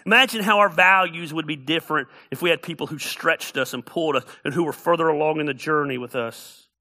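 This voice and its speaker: Middle-aged pastor guy preaching, high bright voice, punchy and repeats himself for effect. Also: brisk. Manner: emphatic, repeats key phrases, rises to loud peaks. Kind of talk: sermon.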